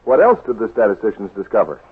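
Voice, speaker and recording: A male 1940s radio announcer delivering a sponsor pitch. His voice is very husky and lively in pitch, on a narrow, muffled old broadcast. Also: fast talker.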